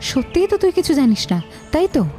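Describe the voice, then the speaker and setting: An actress playing a wronged, indignant married sister in an audio drama, accusing and insistent. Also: female voice, high voice, sing-song, brisk, warm tone, faint music behind. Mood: hurt, resolute. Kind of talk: audio story drama acting.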